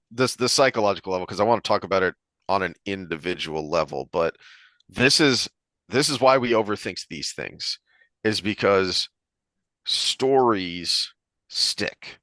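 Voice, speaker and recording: The low-voiced man on a book podcast, thinking aloud into a close, dry mic as he explains and digresses. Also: a bit bright, no echo. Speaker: podcast host discussing books. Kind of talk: conversational analytical discussion.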